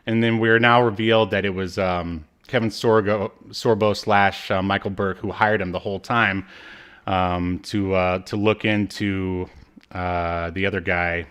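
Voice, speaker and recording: A man's voice: low-pitched, fairly even intonation, a little slow, fairly bright tone, clear, resonant tone. A man co-hosting a podcast, chatting casually through a recap with rambling, jokey asides. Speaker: podcast co-host. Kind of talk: casual chat, recapping a TV episode.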